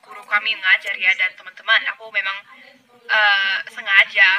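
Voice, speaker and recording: A woman from Canada talking casually to her vlog audience in a lively, friendly way, laughing easily. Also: medium pitch, normal speed, crowd noise, poor audio. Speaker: vlogger.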